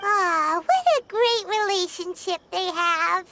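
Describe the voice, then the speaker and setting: A woman voicing a small, curious fairy companion in a game, with a very high, chirpy voice. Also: takes it slowly, bright, forceful tone, a little hiss or hum, full character voice. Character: tiny floating fairy companion, cheeky, curious.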